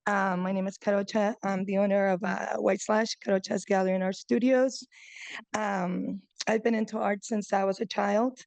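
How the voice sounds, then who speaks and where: A woman's voice: medium pitch, steady tone, normal speed, gravelly, a little mellow, dry recording. A woman in her forties, from Chile, giving a nervous talk about her art gallery, reading from her phone, with many ums and uhs. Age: 40s. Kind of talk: nervous public speech read from a phone. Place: breakfast meeting room.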